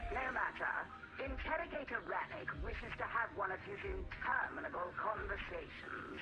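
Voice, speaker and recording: A woman's voice: mid-range voice, lively intonation, moderate pace, over music. A woman voicing a haughty, coldly formal machine-priest in a video game, imperious, precise and pompous, with a metallic filter. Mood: condescending, dry. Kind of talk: video game cutscene acting. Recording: echoing, heavy noise behind.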